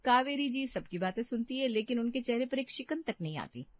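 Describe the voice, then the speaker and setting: A woman's voice: on the high side, animated, brisk, no room sound, highs cut off. A woman narrating a scripted domestic story as a storyteller.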